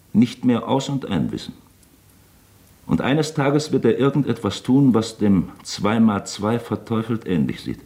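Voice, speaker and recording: A man's voice: lowish voice, mellow. An actor in a German radio drama playing a wily, talkative, mock-genial male magistrate who toys with his suspect, insinuating and falsely chummy. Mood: gleeful, taunting.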